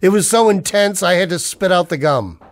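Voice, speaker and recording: A man's voice: high-pitched, expressive, normal speed. An actor playing a tense, defiant man narrating a noir sci-fi ordeal in old radio drama style, hard-boiled and urgent. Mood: grim, reflective. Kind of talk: 1940s radio drama acting with first-person narration.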